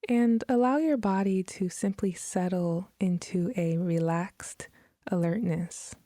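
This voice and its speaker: A woman guides a meditation very slowly, leaving long pauses between short phrases. Her voice is mellow and slightly low, with soft breathy edges, recorded dry and close. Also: no echo. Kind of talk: guided meditation, scripted narration.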